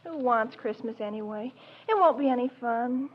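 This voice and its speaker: A moody young woman, played by an actress, glum and scolding, with a high voice. She sounds like a sister keeping a boy in line. The sound is dull and lo-fi, from an old broadcast. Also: normal speed, natural voice. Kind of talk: 1940s radio acting. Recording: dull lo-fi 1940s radio broadcast.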